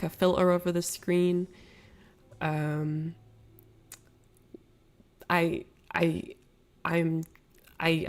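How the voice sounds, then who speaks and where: A woman's voice: lowish voice, gravelly. A woman hosting a casual livestream, rambling and apologetic, sniffly with a cold as she chats to viewers. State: ill with a cold, sniffly, coughing. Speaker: livestream host.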